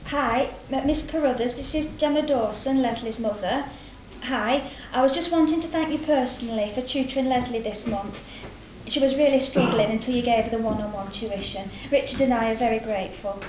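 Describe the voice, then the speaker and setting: A woman's voice: fairly high pitch, fairly quick, natural voice. An actress reading a grateful mother's phone message, a weather girl and a police dispatcher in a scripted audio drama. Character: young woman caller and weather girl. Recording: dull lo-fi roomy recording, faint hiss.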